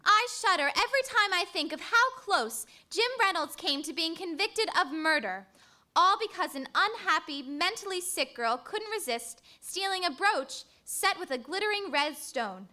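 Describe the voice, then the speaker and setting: A woman's voice: extremely high pitch, animated, moderate pace, pushed and bright, heavily acted. A woman acting an earnest, inquisitive companion to a crime fighter in an old-time radio drama. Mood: earnest, curious. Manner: theatrical, earnest.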